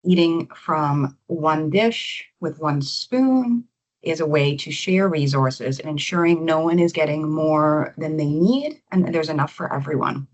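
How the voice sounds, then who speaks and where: A woman leading a recorded webinar session as an organization's executive director, speaking in a measured, deliberate way. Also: low voice, low-quality recording. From Canada.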